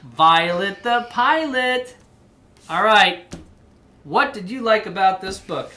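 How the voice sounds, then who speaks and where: A woman reading a children's storybook aloud in an animated style. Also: medium pitch, takes it slowly, background noise. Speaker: storybook reader.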